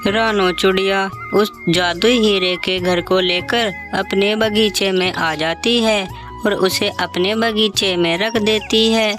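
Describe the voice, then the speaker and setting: Female narrator, children's story, scripted reading, slow pace, prominent music. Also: mid-range voice, steady tone. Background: loud music.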